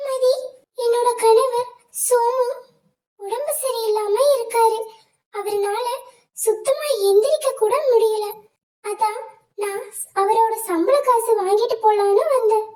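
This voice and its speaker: A woman's voice: very high voice, fairly even intonation, takes it slowly, pauses often, warm tone. A woman voicing a worried, polite sparrow wife in a children's cartoon story. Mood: polite pleading.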